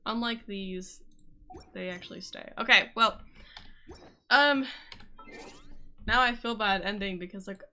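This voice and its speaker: A woman's voice: medium pitch, unhurried, long pauses, sharp tone. A woman chatting casually and informally as a game streamer during a live stream.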